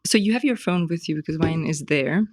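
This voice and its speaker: A woman's voice: low-pitched, animated, fairly quick, a little mellow. A woman hosting a podcast in a casual conversation, hesitant and laughing, often stopping to search for words. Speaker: podcast host.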